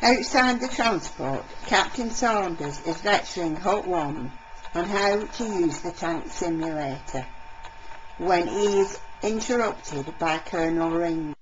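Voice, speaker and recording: A woman's voice: fairly low pitch, takes it slowly, crowd noise. A woman reading scripted narration as the narrator of an amateur audio drama. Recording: dull, very noisy homemade recording.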